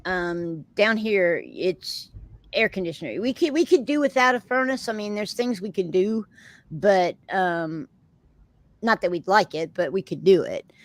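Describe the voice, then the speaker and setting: A woman's voice: medium pitch, a little slow, dry recording. A middle-aged woman with a Texan accent, hosting a casual chat with viewers in a rambling, chatty way and laughing often. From Texas. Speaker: host of a live chat show.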